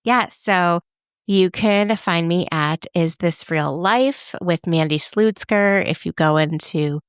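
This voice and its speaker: A woman's voice: on the low side, takes it slowly, clear, resonant tone, dry recording, studio quality. A woman co-hosting a podcast in casual chat, thoughtful and hedging, filling her talk with "like.". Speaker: podcast co-host.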